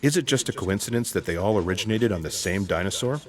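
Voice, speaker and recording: An actor playing a serious, methodical special agent in sci-fi audio theatre, deadpan and deliberate. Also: male voice, fairly low pitch, natural voice. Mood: deadpan, musing.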